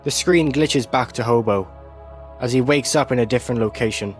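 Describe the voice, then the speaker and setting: A man reads scripted video essay narration at a middle pitch. He describes scenes like a storyteller, with music playing faintly behind him. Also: normal speed. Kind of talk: scripted narration, recapping an animated series.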